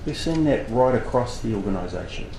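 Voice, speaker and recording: A man's voice: mid-range voice, expressive, fairly quick, vocal fry. A man council manager answering a committee in an assured, conversational way. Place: council chamber. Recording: echoey noisy chamber.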